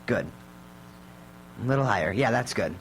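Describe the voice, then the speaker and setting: A man's voice: on the low side, animated, normal speed, natural voice. An actor playing a sarcastic, irritable fifteen-year-old boy in a live radio drama, snappy and grudging. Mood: busy, grudging. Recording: noisy live radio recording.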